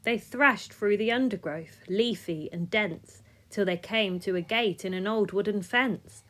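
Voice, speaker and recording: A woman reading a rhyming children's story aloud in an expressive, measured way, sometimes dropping soft. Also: mid-range voice. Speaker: storybook reader.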